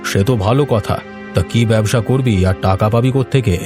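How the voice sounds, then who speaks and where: A man voicing a gruff, suspicious village elder in a cartoon, scolding and grumbling. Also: mid-range voice, fast, music in the background, lightly acted. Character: gruff, suspicious village headman and put-upon old fisherman. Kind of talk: cartoon story dialogue.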